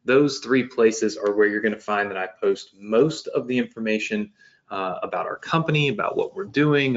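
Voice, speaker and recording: A man's voice: on the low side, dull audio. A man in his late thirties hosting a live business webinar, talking loosely with many fillers and restarts. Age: 30s. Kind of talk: casual live-stream talk. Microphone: webcam setup at home desk.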